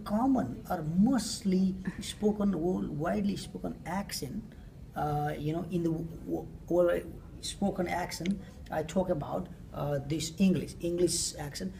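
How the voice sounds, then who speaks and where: A woman with a Nepali accent presenting survey results, speaking haltingly and often rephrasing her questions. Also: on the low side, gravelly, mellow. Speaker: student presenter. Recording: distant mic with faint room noise.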